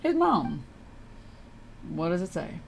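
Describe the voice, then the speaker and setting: A woman's voice: on the low side, sing-song, takes it slowly, gravelly, a little hiss or hum. A woman streamer giving casual, reactive, sweary commentary while playing a game. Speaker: live streamer.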